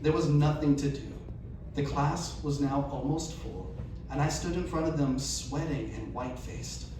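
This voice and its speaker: A man's voice: fairly high pitch, normal speed. A man reading a humorous first-person story aloud to an audience, acting out its questions and dialogue as he goes. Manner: performed delivery, acting out dialogue and self-questions. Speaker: reader at a live storytelling event. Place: live event venue. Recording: echoey, noisy room recorded from far off.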